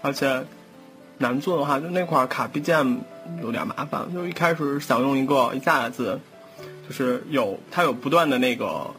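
A man chatting casually in a halting way, often repeating his words. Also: mid-range voice, a bit bright, soft background music. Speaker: audio drama post-production editor. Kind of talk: casual chat.

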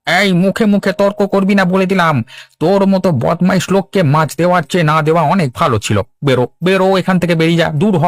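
A man's voice: high-pitched, fast, no room sound, studio quality. A man playing a hot-tempered, jealous fish trader in a cartoon, scornful and domineering. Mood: angry. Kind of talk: Bengali cartoon dub.

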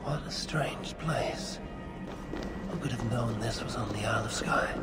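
A woman's voice: extremely low pitch, measured pace, in a whisper. An actress playing a brash, stubborn Viking warrior woman with a blunt, defiant manner in a video game. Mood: musing. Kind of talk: video game cutscene acting. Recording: loud music behind the dialogue.

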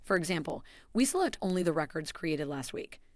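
A woman giving a scripted, even voice-over that walks through software steps. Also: sits low, brisk, no room sound. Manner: even, instructional delivery. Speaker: software tutorial narrator.

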